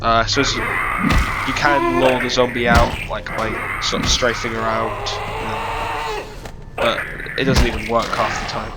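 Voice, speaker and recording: A man's voice: mid-range voice, big pitch swings, a little slow, rough recording. A man with a cold, sounding nasal and sniffly, giving a rambling, self-deprecating developer commentary on his own game map with lots of fillers. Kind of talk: casual gameplay commentary. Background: loud game music.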